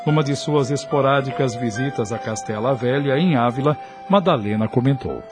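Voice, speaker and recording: A man narrates a radio soap with solemn formality. He has a mid-range voice and a Brazilian accent, telling the story as scripted drama. Music plays clearly beneath him. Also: mid-range voice, normal speed. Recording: music bed under the voice.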